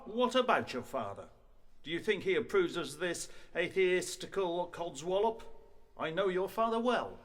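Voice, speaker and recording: A man's voice: high voice, lively intonation, moderate pace, fairly bright tone. An actor playing an indignant male college don in a radio play, scornful and blustering. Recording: echoey hall with long reverb.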